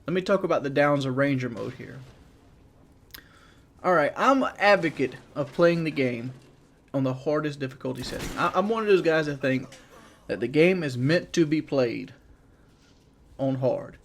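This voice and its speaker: A man giving casual, rambling game commentary as a YouTuber, blunt and monotone, with frequent restarts. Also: on the high side, moderate pace, long pauses. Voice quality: self-described monotone.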